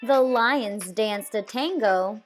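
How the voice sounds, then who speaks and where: A woman reads a children's story aloud with deliberate expression. Her voice sits fairly high and moves slowly, with soft music underneath. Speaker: storybook narrator. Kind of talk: children's read-aloud, scripted narration.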